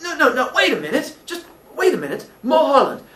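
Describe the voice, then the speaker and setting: A reader playing an earnest, high-pitched young Englishman. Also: big pitch swings, a little fast, pushed and bright, heavily acted. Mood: searching, excited. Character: earnest, polite young Englishman, puzzled and insistent.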